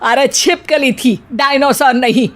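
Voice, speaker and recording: A woman's voice: high-pitched, a little slow. A woman voicing a bossy, sarcastic, easily frightened middle-aged mother-in-law in a cartoon story, scolding and exclaiming. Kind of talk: Hindi cartoon story dialogue.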